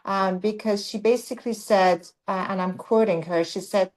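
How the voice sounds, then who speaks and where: A woman moderating a webinar panel over a video call, introducing guests and framing questions in a deliberate way. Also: mid-range voice, fairly even intonation, darkish tone, low-quality recording.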